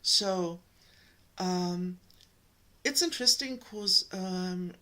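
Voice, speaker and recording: A woman from Germany with a German accent, giving a slow, gentle spiritual talk to her viewers, pausing often. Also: lowish voice. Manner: slow, gentle, with many pauses and ums. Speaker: spiritual coach.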